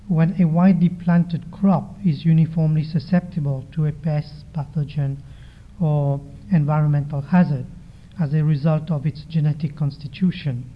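A soft-spoken man giving a formal conference presentation into a microphone, measured and pausing often with hesitations. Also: on the high side. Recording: dull lo-fi recording.

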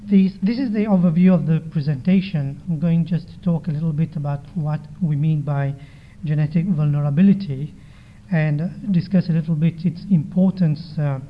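A soft-spoken man with a slightly raised pitch delivers a formal conference talk. He speaks in a measured way, often pausing with hesitant fillers. The sound is dull and lo-fi. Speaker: conference presenter. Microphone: microphone. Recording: dull lo-fi recording.

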